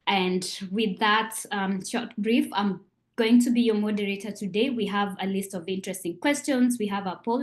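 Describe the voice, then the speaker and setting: A woman in her thirties from Kenya, moderating an online panel over a video call in a measured way. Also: mid-range voice.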